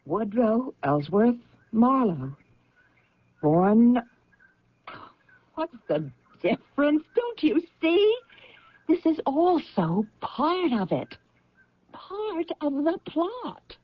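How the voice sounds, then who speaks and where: Agitated career woman, high and very lively, halting yet insistent; old-time radio suspense. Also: dry recording, full character voice. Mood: defensive, upset. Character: anxious career woman convinced of a plot against her. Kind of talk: radio drama acting. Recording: dull, band-limited old radio recording.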